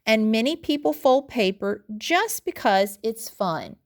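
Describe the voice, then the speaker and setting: A woman reading an article aloud as a teacher would for students, in an instructional, deliberate way with the odd stumble over words. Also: a little high, lively intonation. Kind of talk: read-aloud lesson narration.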